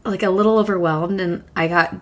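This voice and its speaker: A woman co-hosting a podcast in casual chat, hoarse from a long cough and talking with many fillers and restarts. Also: lowish voice, fairly even intonation, a little fast. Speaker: podcast co-host.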